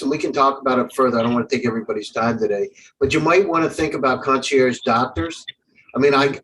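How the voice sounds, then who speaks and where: A man's voice: medium pitch, fairly bright tone. A man judging a startup competition, giving rambling, friendly feedback. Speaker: judge. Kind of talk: casual feedback on a video call. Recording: distant mic with faint background noise.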